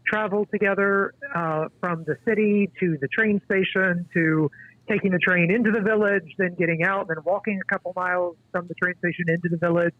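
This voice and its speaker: A man in his forties, a guest author, speaking in a halting, thoughtful way with many restarts. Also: high-pitched, dry recording. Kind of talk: casual interview conversation. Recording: tinny dry phone line.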